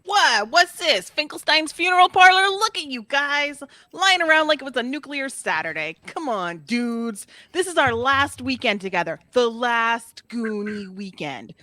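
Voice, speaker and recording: A woman's voice: high voice, animated, measured pace, hardly any pauses, sharp tone, no echo, heavily acted. A woman reading the part of a smart-mouthed fifteen-year-old boy, brash and taunting, in a script table read. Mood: mocking.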